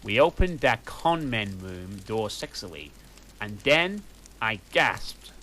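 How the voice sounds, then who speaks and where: Man reading aloud with lively, drawn-out delivery. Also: fairly low pitch, a little hiss or hum. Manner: deadpan, mocking, reads punctuation aloud. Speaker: reader. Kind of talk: mocking dramatic reading of fan fiction.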